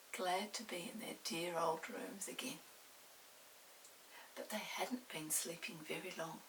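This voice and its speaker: A woman's voice: lowish voice, fairly bright tone. An older woman narrating a children's story expressively, with dramatic touches. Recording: distant mic with hiss.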